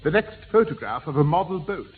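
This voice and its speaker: A man's voice: fairly high pitch, very animated, fairly quick. A man playing a prim, fussy, easily offended character with a pompous, precise manner in a 1960s British radio sitcom. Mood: smug, gloating. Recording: tinny, echoey old radio recording.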